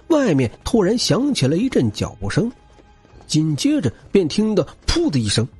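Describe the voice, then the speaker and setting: A man reads an audiobook with very animated, dramatic delivery. His voice is husky and a little high for a man, with faint music behind it. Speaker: audiobook narrator. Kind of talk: dramatic scripted narration.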